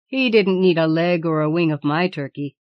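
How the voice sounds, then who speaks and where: A woman's voice: low voice, normal speed, no room sound, lo-fi sound, close to an everyday voice. A woman voicing a shrewd peasant man in a storybook, folksy and self-assured. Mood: defiant, assured. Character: plain-spoken, confident peasant man, shrewd.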